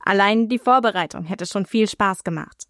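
A woman's voice: mid-range voice, normal speed, background noise. A woman reporter reading a scripted radio feature narration. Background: music.

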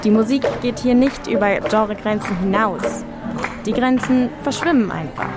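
A woman's voice: a little high, normal speed. A woman reporter reading a scripted radio feature narration. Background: music.

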